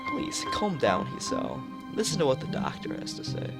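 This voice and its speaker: Man reading as a gently coaxing, concerned father. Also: on the high side, sing-song, normal speed. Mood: placating. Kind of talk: visual novel read-aloud. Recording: game music behind the voice.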